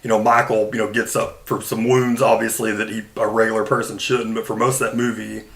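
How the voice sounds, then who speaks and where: A man's voice: fairly low pitch, flat delivery, brisk, gravelly, fairly bright tone. A man hosting a podcast, talking casually and emphatically in an informal, conversational way. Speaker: podcast host. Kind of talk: casual film discussion.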